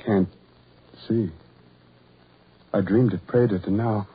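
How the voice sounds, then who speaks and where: An actor playing a tormented, lovelorn, self-loathing man in a melodramatic 1940s radio horror drama, brooding and halting in delivery. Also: lowish voice, fairly even intonation, breathy edge, full character voice. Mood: feverish, haunted. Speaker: radio actor, lead and narrator. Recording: muffled, noisy 1940s radio recording.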